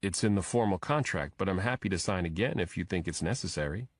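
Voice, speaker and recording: A man's voice: low-pitched, animated, fast, dry recording, studio quality. A man voicing a probing detective with a direct, questioning manner, in an audiobook. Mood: alert, questioning. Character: probing male detective, contract consultant to the FBI. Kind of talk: audiobook character dialogue.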